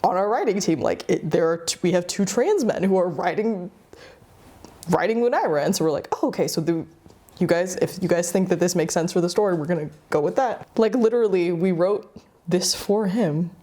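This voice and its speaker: A woman's voice: lowish voice, expressive, fairly quick, dark tone. A woman interviewer talking casually, chatty and effusive with her guest. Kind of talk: casual chat.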